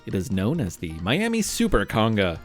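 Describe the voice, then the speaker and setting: A man's voice: on the low side, wildly swooping pitch, normal speed, soft background music. A man hosting a children's show, narrating from a script in a playful, theatrical way with wry asides. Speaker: podcast host.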